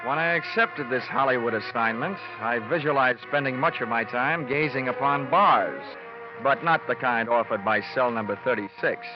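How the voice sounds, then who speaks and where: A man's voice: mid-range voice, normal speed, over music, close to an everyday voice. Man acting a wry hardboiled private investigator, muffled old radio. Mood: dry narration. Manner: sardonic, deadpan wisecracking. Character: wry, hardboiled male freelance insurance investigator. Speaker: lead actor in a detective radio play. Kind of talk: 1940s-50s radio detective acting. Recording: dull, band-limited old radio recording.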